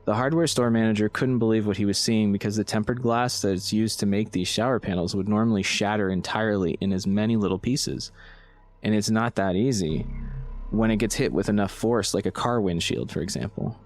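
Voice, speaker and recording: A man's voice: on the low side, fairly quick, a little mellow. A man reading a true-story account as scripted narration. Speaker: story reader.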